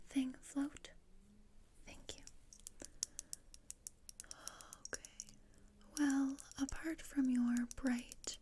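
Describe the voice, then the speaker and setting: A woman playing a morbid, scheming, deadpan teenage girl in a whispered ASMR roleplay, with a measured, dryly macabre manner. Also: sits high, a little hiss or hum. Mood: coolly scrutinizing.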